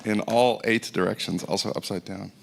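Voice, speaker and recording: Middle-aged Dutch man, American-sounding accent, lowish voice, loose self-deprecating conference presenter. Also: steady tone, moderate pace, creaky, a little hiss or hum. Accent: American-sounding English. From Netherlands. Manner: informal, self-deprecating, many fillers and restarts. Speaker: conference speaker. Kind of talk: technical conference talk. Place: conference stage.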